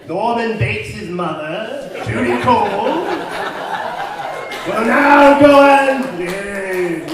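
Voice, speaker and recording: A man hosting a live old-time radio show with exaggerated, drawn-out, spooky showmanship. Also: high voice. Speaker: show host and announcer. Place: live on stage in a community hall. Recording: echoey hall, distant mic, loud crowd.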